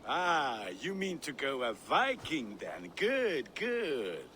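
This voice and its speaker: Slow, lively Viking blacksmith. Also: male voice, a little high, a little hiss or hum, exaggerated voice. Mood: wistful, eager. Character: eager, nostalgic Viking blacksmith. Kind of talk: dramatic video game acting.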